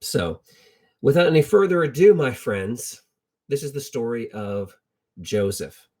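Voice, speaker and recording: A middle-aged American man tells Bible stories informally with personal anecdotes, in a halting, reflective way, repeating phrases for emphasis. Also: medium pitch, animated, a little mellow. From United States. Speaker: lay Bible storyteller.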